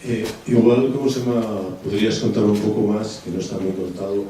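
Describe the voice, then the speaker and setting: A man's voice: on the low side, fairly even intonation, fairly quick, dark tone, slight background noise. A man moderating a debate after a film screening, speaking hesitantly with many fillers and restarts. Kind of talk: post-screening Q&A. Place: cinema hall. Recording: very echoey hall from far off.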